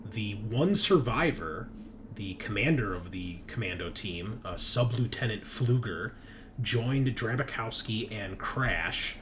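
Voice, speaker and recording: A man with a fairly deep voice runs a tabletop game stream. He talks in a laid-back, rambling and casually profane way, like chatting with friends. Clear background noise sits behind his home microphone. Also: expressive, slow. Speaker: game master and host.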